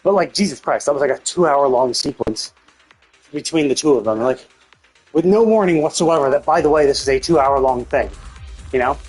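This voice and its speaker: A man's voice: medium pitch, animated, brisk, darkish tone, husky. A man streaming a game, giving casual, rambling commentary as he thinks aloud and swears freely. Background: game music.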